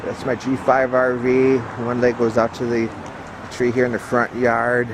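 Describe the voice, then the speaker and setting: A man giving a casual, hands-on video walkthrough as a hobbyist, explaining technical gear in a matter-of-fact, halting way into a handheld camera. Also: medium pitch, monotone. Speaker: ham radio hobbyist showing his equipment. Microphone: handheld camera microphone. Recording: clearly noisy handheld camera recording.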